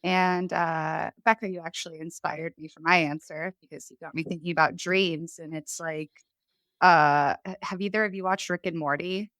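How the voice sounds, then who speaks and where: A woman's voice: lowish voice, steady tone, moderate pace, a bit bright, dry recording. A woman raised in Chicago, with a slight Chicago accent, talking casually as a podcast guest, chatty and laughing often. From born in Poland, raised in Chicago. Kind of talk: casual conversation.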